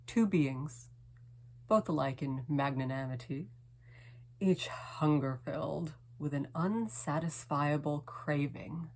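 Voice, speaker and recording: A woman reading her own poem in a dramatic, lingering way, with hushed phrase endings and long pauses. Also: sits low. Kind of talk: slow dramatic poetry reading.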